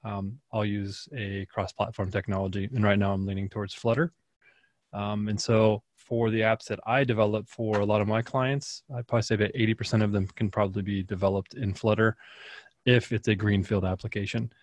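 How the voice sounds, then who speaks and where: A man's voice: fairly low pitch, level tone, a little fast, no echo. A man on a video call speaking into a desk microphone on an arm, giving his views in a direct, opinionated way. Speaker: panelist. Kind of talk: casual video call discussion. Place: home room. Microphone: desk mic on arm.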